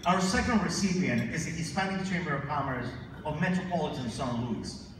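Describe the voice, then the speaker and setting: A man's voice: a little high, animated, brisk. Man speaking formally from a podium. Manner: formal, emphatic speech-giving with rising calls for applause. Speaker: chamber of commerce leader presenting awards. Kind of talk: prepared speech at a podium. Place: large convention ballroom stage. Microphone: podium microphone, filmed from far in the audience. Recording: echoey hall, distant mic, audience noise. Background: audience ambience.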